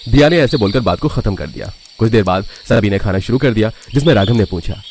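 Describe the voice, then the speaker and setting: A man's voice: lowish voice, animated, fast talker, a little mellow, a little hiss or hum. A man narrating a scripted cartoon family story.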